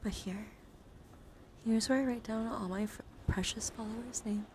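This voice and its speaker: A young woman live streaming casual ASMR chat in a soft voice that often slips into whispers, rambling and easily excited, close to the microphone. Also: on the high side, normal speed, a little hiss or hum.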